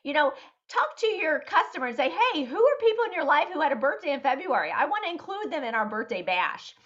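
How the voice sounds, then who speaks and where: A woman's voice: high voice, very animated, quick pace, fairly bright tone, dull audio. A woman leading a sales team meeting, explaining an event plan in an upbeat coaching manner.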